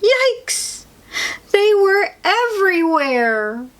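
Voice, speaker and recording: An earnest little storybook egg voiced by a woman, theatrical and exclamatory. The pitch leaps about expressively from word to word. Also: very animated, drawn-out words, heavily acted. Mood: alarmed. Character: earnest, anxious good egg, a storybook narrator character.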